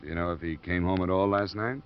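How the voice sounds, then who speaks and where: An actor playing a laconic, steady investigator in a 1950s radio detective drama, with a dry, matter-of-fact delivery. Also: male voice, sits low, fast, clean tone, natural voice. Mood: firm, probing. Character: laconic, steady male insurance investigator narrating his case. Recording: muffled old radio broadcast recording.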